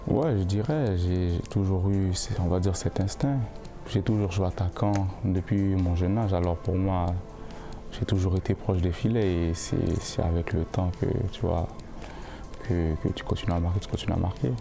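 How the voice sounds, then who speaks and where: A young male football player answering interview questions in a casual, halting way, often repeating words while he looks for the phrasing. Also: low voice, steady tone, creaky, music in the background. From born in the United States, raised in Canada. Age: young adult.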